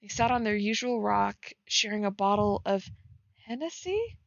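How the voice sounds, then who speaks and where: A woman's voice: medium pitch, lively intonation, moderate pace, vocal fry, dry recording, dull audio. A giggly woman casually reading fan fiction aloud and breaking off to comment on it as she streams.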